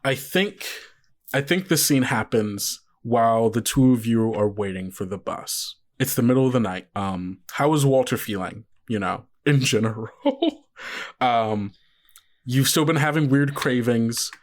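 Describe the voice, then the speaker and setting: A man working as game master, explaining rules and narrating scenes in an informal, halting way. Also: mid-range voice. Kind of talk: casual actual-play narration.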